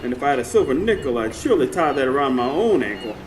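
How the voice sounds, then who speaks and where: Man acting a lively, skeptical Southern friend. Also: medium pitch, fast, natural voice. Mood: skeptical, sassy. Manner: wry, exclamatory, teasing. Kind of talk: radio drama acting. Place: stage before a live studio audience. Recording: live radio show with background noise.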